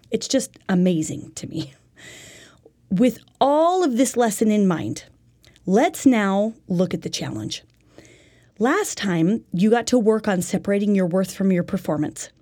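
Earnest woman teaching, slightly dark husky voice. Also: medium pitch, animated, unhurried. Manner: earnest, instructive. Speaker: lesson presenter. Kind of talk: religious self-help lesson.

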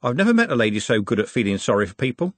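A man's voice: mid-range voice, very animated, racing, no room sound. A man voicing a cheeky, cocky young male city lad who talks breezily and colloquially.

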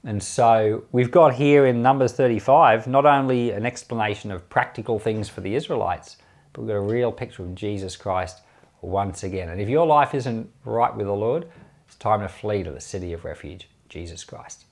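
A man's voice: on the low side, normal speed. A man speaking as a pastor, giving informal Bible commentary with many restarts and closing in earnest prayer.

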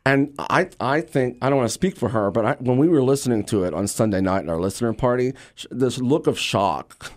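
A middle-aged American man, an actor, chatting casually as an interview guest and telling stories in an animated way. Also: mid-range voice, a little fast, hardly any pauses. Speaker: guest, stage actor and radio drama producer.

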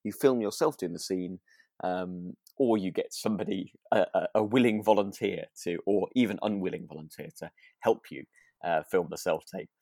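A man's voice: low voice, normal speed, a little mellow, no echo, clean studio sound. A British man co-hosting a casual podcast about a radio drama, speaking hesitantly with chuckles as he talks. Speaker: podcast co-host and actor.

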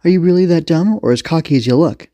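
A cocky young police commander woman from an adventure story, acted out by a man. The voice is pushed higher and barks slangy, foul-mouthed taunts and threats. It is recorded dry. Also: fast, mellow, no room sound, natural voice. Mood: taunting.

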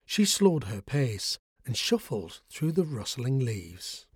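A man reading a bedtime story aloud, soothing and expressive. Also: mid-range voice, breathy edge, no echo, studio quality.

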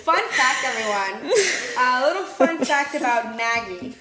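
A woman's voice: high-pitched, expressive, unhurried. A woman in her twenties co-hosting a casual podcast with playful, teasing banter, recorded in an echoey room. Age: 20s. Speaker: podcast co-host. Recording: echoey room with faint noise.

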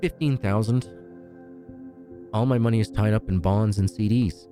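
A man's voice: lowish voice, moderate pace, mellow, soft background music, close to an everyday voice. A man playing a proud, slippery brother in money trouble in an audio drama, desperate and cynical. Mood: slick, cynical.

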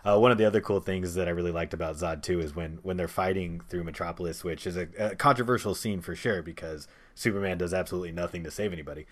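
A man with a deep, low voice leads a casual podcast chat. He talks conversationally about films as the host of the discussion. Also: fast, hardly any pauses.

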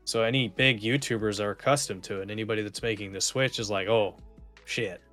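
Lowish-voiced man in casual gaming chat. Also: steady tone, brisk, fairly bright tone, faint music behind. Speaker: podcast co-host.

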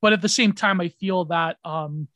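A man's voice: sits high, moderate pace, a bit bright, dry recording. A man speaking as a podcast guest in casual conversation, rambling and hesitant with many fillers and restarts.

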